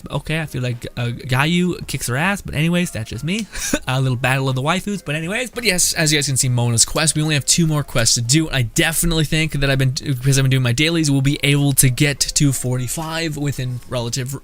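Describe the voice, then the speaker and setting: A man's voice: mid-range voice, fairly bright tone. A young man giving casual, rambling, joking let's-play commentary as a gaming streamer.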